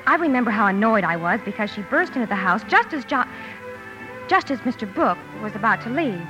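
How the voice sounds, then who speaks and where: A woman's voice: on the high side, sing-song, a little fast. Radio drama narrator: proper female elder sister, storytelling delivery, music underneath, muffled vintage broadcast. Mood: mildly vexed. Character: proper, easily embarrassed elder sister recounting family memories.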